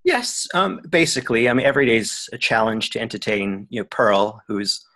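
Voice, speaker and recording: A man's voice: mid-range voice, very animated, normal speed, pushed and bright, dry recording. A man in his forties talking as a guest over a video call from home, telling his life story chattily with many "you know" and "um" fillers. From born in Switzerland, raised in South Africa, Israel and the US. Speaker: guest, actor and writer. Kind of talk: casual interview. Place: home room with bookshelves.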